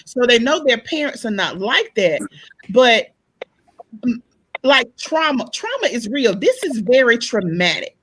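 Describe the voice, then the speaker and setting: A woman with a loud speaking voice, talking animatedly in a casual group discussion, acting out the exchanges she retells. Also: on the high side, a little slow, husky, low-quality recording. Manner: animated storyteller, acts out quoted exchanges.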